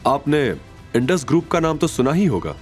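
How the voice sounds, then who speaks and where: A man's voice: a little high, animated, normal speed, faint music behind, voice pushed up, natural voice. A man playing a proud, defiant company chairman in an audio drama, serious and insistent. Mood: earnest insistence. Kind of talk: audio drama character acting.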